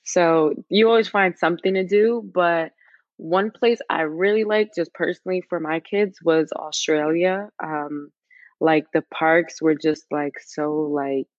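A woman's voice: deep voice, measured pace, no echo, lo-fi sound. A woman chatting informally as a podcast guest, in a casual and conversational way.

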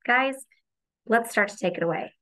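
A woman's voice: a little high, normal speed, pushed and bright, rough recording. A woman hosting a podcast, interviewing guests in a casual style. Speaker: podcast host.

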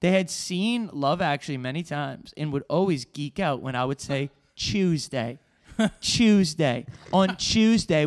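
Male co-host, slightly high voice, improvised deadpan storytelling punctuated by his own laughter. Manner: deadpan mock-serious, breaking into laughter. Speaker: podcast co-host.

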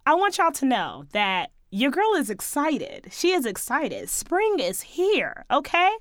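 Female podcast host, a touch hoarse, sassy and chatty, higher voice, dry close sound, faint music underneath. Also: huge pitch swings, measured pace, no room sound. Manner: sassy, chatty, playful asides to listeners. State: slightly hoarse, losing her voice. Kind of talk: casual solo podcast chat.

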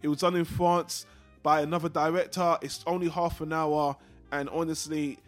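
A man's voice: a little high, little pitch movement, normal speed, faint music behind. A man hosting a casual film discussion podcast, talking in a rambling, digressive and opinionated way. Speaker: podcast host.

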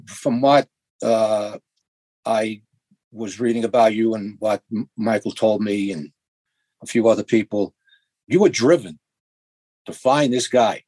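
A man's voice: mid-range voice, a little mellow, no room sound. A man hosting an interview, summing up his guest's story and asking leading questions. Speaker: podcast host and interviewer.

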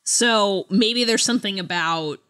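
A woman co-hosting a podcast, chatting casually about books and fandom in an animated, playfully dramatic way. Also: medium pitch, measured pace, clear, resonant tone. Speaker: podcast co-host. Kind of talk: casual book and fandom chat.

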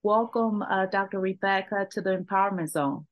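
A woman's voice: medium pitch, normal speed, dull audio. A woman from Texas hosting a podcast interview, talking in an enthusiastic, chatty way with frequent fillers and restarts. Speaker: podcast host. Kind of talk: casual interview chat.

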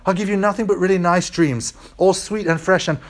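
A man's voice: high-pitched, steady tone, a little fast, voice pushed up, lightly acted. Man doing a sly, wheedling lizard. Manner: soft, coaxing, sly. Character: small wheedling lizard on a ghost's shoulder.

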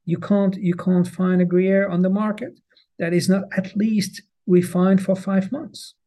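Swiss man with a mellow French accent. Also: high-pitched, steady tone, measured pace. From Switzerland. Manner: restarts and repeats words, passionate emphasis. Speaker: guest, cheese marketing head. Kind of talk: podcast interview. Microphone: remote, on the road.

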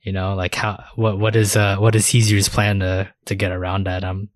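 A man's voice: deep voice, level tone, moderate pace, dry recording. Casual male podcast host, dry close sound. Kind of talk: casual chat.

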